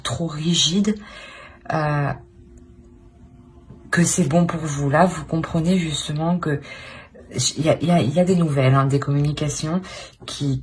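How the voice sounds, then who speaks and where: Woman reading tarot cards, low voice, lots of euh and restarts, faint hiss behind. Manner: hesitant, many "euh" and restarts, soft-spoken asides. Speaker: tarot reader. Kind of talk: casual tarot reading to camera.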